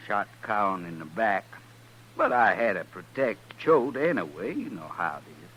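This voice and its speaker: Shifty, sharp-edged low-voiced cowhand. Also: male voice, expressive, normal speed, low-quality recording. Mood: uneasy, ingratiating. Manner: folksy, drawling rural Western speech. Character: shifty, ingratiating male cowhand dodging the law. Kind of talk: 1950s radio western acting. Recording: old radio recording, faint hiss.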